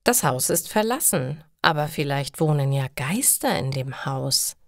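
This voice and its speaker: A woman's voice: deep voice, expressive, measured pace, dry recording, studio quality. A woman voicing a cautious, easily scared schoolboy in a storybook reading for language learners. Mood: casual. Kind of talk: acted dialogue in a learner's storybook.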